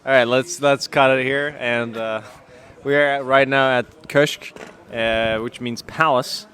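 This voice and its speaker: A man's voice: medium pitch, steady tone, takes it slowly, a bit bright, slight background noise. A young man with a Danish accent, chatting casually as podcast co-host, rambling with many fillers and restarts. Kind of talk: casual chat.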